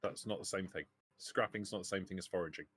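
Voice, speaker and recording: A man's voice: deep voice, fast talker, a bit bright, husky, no room sound. A man acting as game master, explaining the rules of a tabletop role-playing game.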